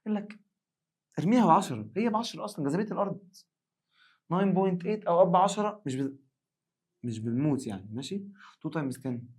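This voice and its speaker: An Egyptian man with a rough, worn-out voice runs a quick science revision. He talks fast and keeps the energy high, pitching up loudly whenever he acts out a student asking for help. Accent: Egyptian Arabic. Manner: animated, acts out student questions in a raised voice. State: hoarse. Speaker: science teacher. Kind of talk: lively exam revision lesson.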